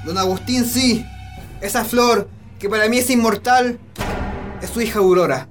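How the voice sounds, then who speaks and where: A man playing a lovestruck young Chilean peasant in a radio play. His voice is extremely high for a man, solemn and respectful yet defiant, over faint music. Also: moderate pace, natural voice. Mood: tender, earnest. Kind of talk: Spanish-language radio drama acting.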